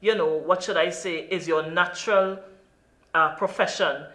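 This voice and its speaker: Trinidadian lady hosting an interview, earnest and emphatic, kinda tense bright tone. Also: lowish voice, fairly even intonation, unhurried, reverberant, poor audio. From Trinidad and Tobago. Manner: earnest, emphatic, lots of "you know". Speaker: talk show host.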